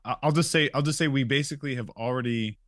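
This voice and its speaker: A man's voice: medium pitch, quick pace, fairly bright tone, no room sound. A man from a game studio chatting casually on a podcast, informal and emphatic, often repeating his phrases.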